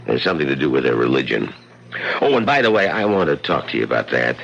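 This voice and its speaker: A man acting in a radio drama as a folksy, cautionary Western local, with a gravelly voice and plain-spoken, serious delivery. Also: extremely low pitch, very animated, fast, noisy background, full character voice. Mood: earnest. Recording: muffled old radio recording.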